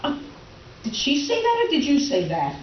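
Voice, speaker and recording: A woman's voice: sits high, wildly swooping pitch, moderate pace. A woman playing a middle-aged wife, hesitant and politely exasperated, in a comic stage reading. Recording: distant echoey room, muffled, noisy.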